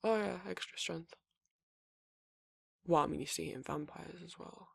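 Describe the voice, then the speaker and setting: A man voicing a gruff, laid-back werewolf boyfriend in an intimate ASMR roleplay, with a husky gravelly voice and a halting, rambling, casual delivery. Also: fairly high pitch, no echo, clean studio sound, full character voice. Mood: casual, reassuring.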